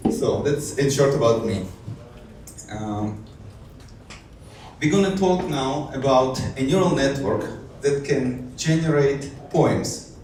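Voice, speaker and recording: A man from Israel gives a technical talk in a large, echoey hall, heard from a distance off the mic with a faint hiss behind him. His voice is dark and mellow and sits at a middle pitch. He talks hesitantly, with many fillers and restarts. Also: slow pace, long pauses. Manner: hesitant, many fillers and restarts, light self-amused laughs. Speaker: conference speaker. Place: conference hall.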